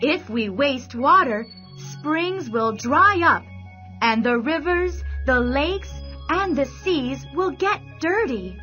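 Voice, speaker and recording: Female bedtime-story narrator, bright and deliberate, slightly dull recording over a light music bed. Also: sits high, animated. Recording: dull sound, soft music behind.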